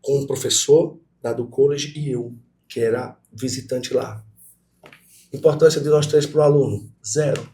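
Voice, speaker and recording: A middle-aged man with a Brazilian Portuguese accent, a guest professor telling stories in a lively conversational interview and speaking straight to the students. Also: medium pitch, unhurried. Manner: animated, talks to students directly.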